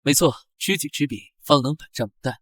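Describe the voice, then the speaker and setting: A man playing a sly, teasing fox spirit spy with a confident, smooth-tongued manner in an animated drama. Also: fairly high pitch, a little fast, dry recording. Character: sly, teasing nine-tailed fox clan spy, male consort.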